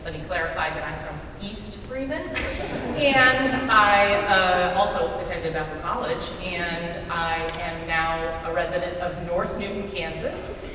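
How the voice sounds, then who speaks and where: A woman's voice: on the low side, unhurried. An American woman from South Dakota speaking informally to an audience. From South Dakota, USA. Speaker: readers theater performer. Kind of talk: informal self-introduction to an audience. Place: large echoey room before a live audience. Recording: echoing hall, distant mic, clear noise.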